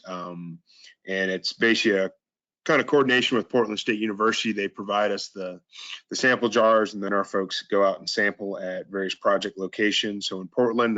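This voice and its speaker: A man giving a verbal update at a video meeting, hoarse and recovering, hesitant with frequent ums and throat clearing. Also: fairly low pitch, fairly bright tone. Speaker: meeting presenter. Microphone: video call. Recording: dull lo-fi call audio.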